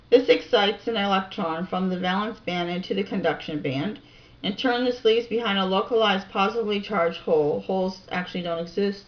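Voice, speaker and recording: A woman reading reference text aloud in a deliberate, informal way, with casual musing asides, on a home recording. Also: medium pitch, lo-fi sound. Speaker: amateur video researcher and narrator.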